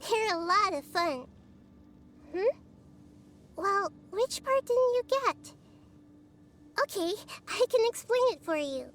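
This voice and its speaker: A very high, girlish voice for a young goddess of knowledge in a video game. She is gentle and wise beyond her childlike sound. She explains things thoughtfully, like a patient little teacher. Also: animated, full character voice. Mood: gentle wonder. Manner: thoughtful, softly instructive, speaks in measured metaphors. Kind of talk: scripted video game character voice lines.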